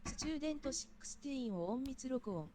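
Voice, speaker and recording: A woman's voice: high voice, expressive, rapid-fire, mellow, a little hiss or hum. A woman playing an impersonal female announcer, reading formal procedural notices in a scripted manner.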